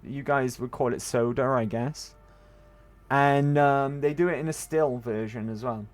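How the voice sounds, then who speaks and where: A man's voice: mid-range voice, fairly even intonation, measured pace, faint music behind. A man from Wales with a Welsh accent, chatting casually to his viewers while streaming a game. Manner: rambling, chatty, answers chat. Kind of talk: casual gaming stream chat.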